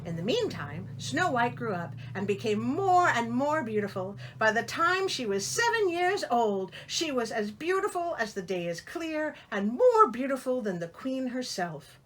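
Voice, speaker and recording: A woman's voice: fairly high pitch, sing-song, a little slow, few pauses, a bit bright, poor audio. A woman reading a fairy tale aloud expressively, with theatrical, incredulous and wry asides. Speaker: storyteller and host.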